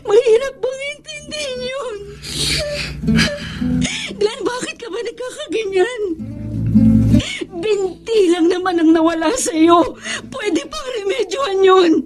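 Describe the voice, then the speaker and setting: A wounded, devoted wife in a radio drama, in an extremely high voice. Her delivery is emphatic and pleading, thick with emotion. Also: takes it slowly, music in the background. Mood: tearful pleading. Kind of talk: Tagalog radio drama acting.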